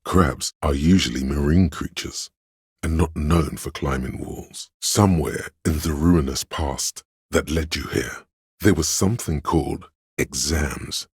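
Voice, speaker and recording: A man playing a learned, detached inner voice of trivia in a video game, narrating in a measured, erudite, wry way with a smooth, resonant tone. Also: a bass voice, no room sound, studio quality, heavily acted. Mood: musing, detached.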